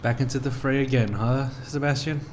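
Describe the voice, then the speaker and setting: A man's voice: mid-range voice, steady tone, brisk, a little mellow, a little hiss or hum. A man giving casual commentary over gameplay with wry, sarcastic asides. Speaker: gameplay video host. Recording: game music under commentary.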